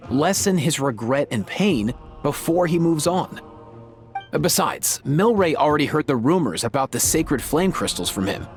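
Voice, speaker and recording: A man playing an earnest, kindly young schemer in a video game, casual and expressive as he explains. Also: a little high. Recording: light music behind.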